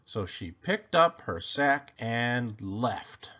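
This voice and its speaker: A man reading a children's picture book aloud expressively, with theatrical, deliberate phrasing, on slightly dull, lo-fi audio. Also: mid-range voice, very animated, bright, forceful tone.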